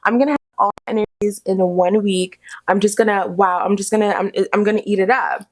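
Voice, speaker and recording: A young adult woman co-hosting a podcast, chatting in an animated, playful, slangy way while recovering from the flu. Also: mid-range voice, fast. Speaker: podcast co-host. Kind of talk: casual chat.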